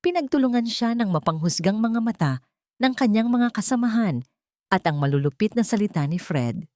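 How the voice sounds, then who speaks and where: A woman's voice: mid-range voice, expressive, normal speed, a little mellow, no echo, studio quality. A woman narrating a scripted dramatic story in a solemn, suspenseful manner.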